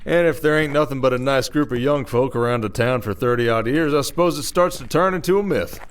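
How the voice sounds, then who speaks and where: A man's voice: mid-range voice, a little fast, clear, resonant tone, natural voice. Man acting werewolf sheriff, folksy, wry, weary, protective, audio roleplay. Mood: wry, explaining. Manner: folksy, dry-humored, rambling explanations.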